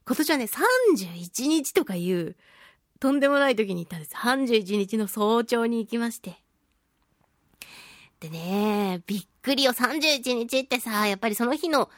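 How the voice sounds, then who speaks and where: A woman hosting a casual solo radio talk show, speaking in an expressive, self-deprecating way with drawn-out exclamations. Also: a little high, dry recording, clean studio sound. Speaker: voice actress and singing event MC.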